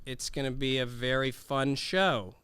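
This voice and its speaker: Man, guest comic, self-deprecating grumbles, bright clear voice, dry studio. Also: medium pitch, steady tone, unhurried, no echo. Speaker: guest comedian. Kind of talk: casual chat. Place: podcast studio.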